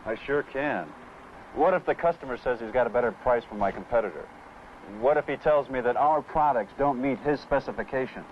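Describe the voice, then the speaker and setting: A man's voice: medium pitch, fairly quick, close to an everyday voice. A man playing an eager, modest trainee salesman in a training film, inquisitive and deferential. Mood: keen. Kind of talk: 1970 training film acting. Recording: muffled, hissy 16mm film soundtrack.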